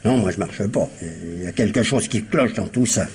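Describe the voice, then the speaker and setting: An actor playing a sceptical, sardonic police inspector who talks colloquially in a French radio crime drama. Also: male voice, on the low side, noisy background, lightly acted. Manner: colloquial, mocking, drawn-out phrasing.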